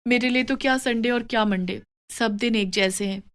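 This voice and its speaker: A woman's voice: on the high side, moderate pace, lightly acted. Woman acting a weary, dutiful young daughter-in-law. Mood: gentle, concerned. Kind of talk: moral story character dialogue.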